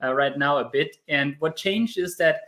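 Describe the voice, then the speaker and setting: A man in his thirties with a German accent, talking casually as an interview guest and telling stories in an animated way. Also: fairly high pitch, moderate pace. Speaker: podcast guest, crypto YouTuber.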